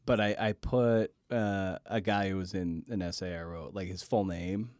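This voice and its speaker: A man hosting a podcast interview in a casual, halting way, often repeating words as he forms his thoughts. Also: low voice, fairly even intonation, a little mellow, no echo, clean studio sound. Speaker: podcast host and writer.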